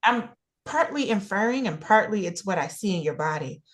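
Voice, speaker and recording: Woman acting an earnest, animated, talkative busybody. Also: mid-range voice, natural voice. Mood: earnest, tentative. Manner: halting, repetitive, insistent. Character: Ruthie, talkative, earnest, nosy woman offering unasked health advice. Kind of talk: naturalistic stage play table read.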